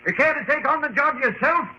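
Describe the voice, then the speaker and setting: An actor playing a nervous, stammering, blustering gentleman in an old radio drama. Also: very high-pitched, heavily acted. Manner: stammering, fussy bluster with dated British slang. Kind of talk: 1950s radio drama acting. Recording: lo-fi old radio, faint noise.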